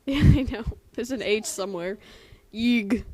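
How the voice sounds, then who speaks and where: Giggly young woman with a mellow, highish voice. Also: a little slow. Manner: giggly, breaks up while reading. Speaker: amateur reader. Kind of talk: dramatic reading with casual commentary. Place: room in a house. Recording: noisy home recording.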